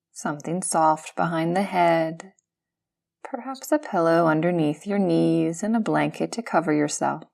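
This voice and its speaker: A woman guiding a yoga nidra meditation, speaking gently and soothingly in calm, unhurried instructions with pauses between phrases. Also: lowish voice. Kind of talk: guided meditation.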